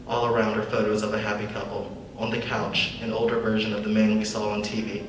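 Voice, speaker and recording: A man's voice: fairly low pitch, completely flat delivery, quick pace. A young man reading scripted stage directions as narration at a live table read. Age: young adult. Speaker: reader of script action lines. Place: large echoey room, live event. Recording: echoey room, distant mic, faint noise.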